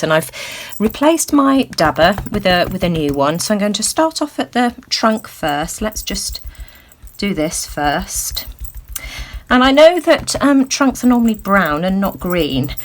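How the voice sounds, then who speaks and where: A woman hosting a craft tutorial, thinking aloud with lots of ums and a slightly croaky voice. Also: mid-range voice. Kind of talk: casual crafting demonstration. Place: indoors at a craft table.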